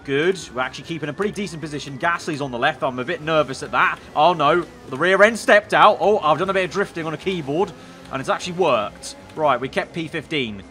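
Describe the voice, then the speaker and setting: A young man commentating a racing game, excitable and slightly hoarse, breaking into shouts at crashes. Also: on the high side, brisk, faint music behind. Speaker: gaming YouTuber.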